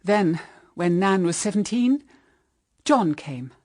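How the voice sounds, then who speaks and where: A woman's voice: mid-range voice, lively intonation, takes it slowly, warm tone, no echo, studio quality. An actress with a British accent playing a middle-aged widowed mother, solemn and anguished, in radio drama style. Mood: weary sorrow. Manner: solemn, intense, melodramatic. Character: anguished, bitter widowed mother pleading with her daughter.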